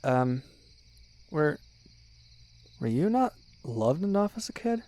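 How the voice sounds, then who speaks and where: A man's voice: on the high side, sing-song, takes it slowly, darkish tone. A young man playing a teasing, loyal best friend in a one-sided casual roleplay, chatty and slangy with little hesitations. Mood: gentle, coaxing.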